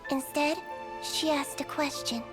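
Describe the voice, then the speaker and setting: Little melusine nurse girl for a game, high kiddy voice, earnest and gentle, music behind. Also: fairly even intonation, a little slow. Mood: storytelling. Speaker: nurse character in a game story. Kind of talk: game voice acting, storytelling.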